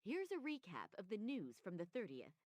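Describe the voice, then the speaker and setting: A woman playing a brisk, neutral television news anchor in a video game, reading formally. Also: mid-range voice, huge pitch swings, darkish tone, dry recording.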